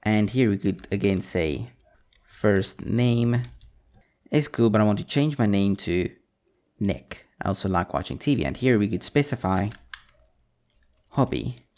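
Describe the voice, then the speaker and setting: A man's voice: on the low side, lively intonation, measured pace, pauses often, darkish tone. A man teaching programming over a screen recording, reading code aloud as he types it. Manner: talks while typing code, reads out strings. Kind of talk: screen-recorded coding tutorial.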